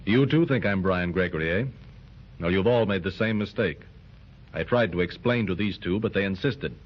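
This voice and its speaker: An actor playing a steady, heroic lord who speaks calmly, firmly and plainly, in 1930s radio acting on a dull old recording. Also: male voice, sits low, normal speed. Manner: calm, firm, plain-spoken. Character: steady heroic jungle lord in evening dress. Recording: dull, faintly hissy 1930s transcription.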